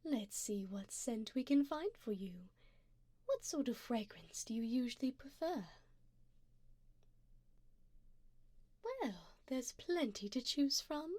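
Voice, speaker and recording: Woman acting a slow, breathy, seductive vampire lady. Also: high-pitched, expressive, dry recording. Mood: attentive, elegant. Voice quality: soft, breathy, often dropping to a whisper. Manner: slow, genteel, formal, seductive. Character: wealthy, doting, seductive vampire sugar mommy. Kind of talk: ASMR audio roleplay. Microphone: close binaural microphone.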